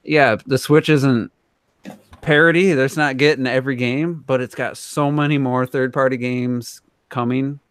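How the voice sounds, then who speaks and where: A man's voice: medium pitch, fairly even intonation, unhurried, a bit bright, clear, resonant tone, no echo. A man co-hosting a podcast, talking casually and giving his opinions in a rambling, conversational way. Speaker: podcast co-host.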